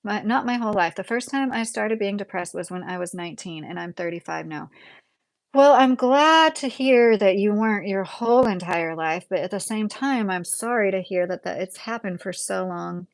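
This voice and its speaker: Woman, late thirties, Midwestern American accent, livestream host, rambling conversational delivery, medium pitch, dry sound. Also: normal speed, gravelly, no echo. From Southern Indiana, USA. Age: late 30s. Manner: rambling, conversational, breaks into chuckles. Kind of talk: casual live chat, reading and answering viewer comments.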